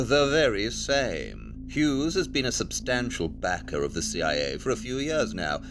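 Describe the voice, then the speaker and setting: An actor playing a brilliant, assured detective in an audio drama, speaking in a precise, formal, expository way. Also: male voice, on the low side.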